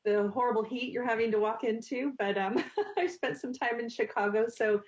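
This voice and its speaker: A woman's voice: fairly high pitch, normal speed. A woman commissioner giving a casual welcome on a video call. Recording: dull lo-fi video call audio.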